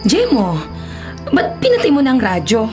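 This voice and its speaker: An anxious Filipino wife in a radio drama. She is cautious and questioning, her voice fairly high, with music clearly underneath. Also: wildly swooping pitch, normal speed, dark tone. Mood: worried. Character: worried, cautious wife of an illegal logger. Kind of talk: Tagalog radio drama acting.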